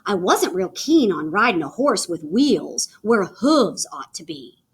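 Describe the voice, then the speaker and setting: A woman reading a children's picture book aloud in an animated, theatrical way with dramatic pauses. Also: medium pitch, slightly rough. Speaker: read-aloud storyteller.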